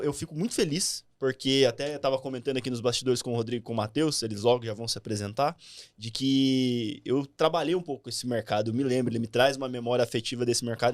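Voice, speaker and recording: A man hosting a casual podcast interview, relaxed and chatty, often ending phrases with a questioning "right?". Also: mid-range voice. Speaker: podcast host.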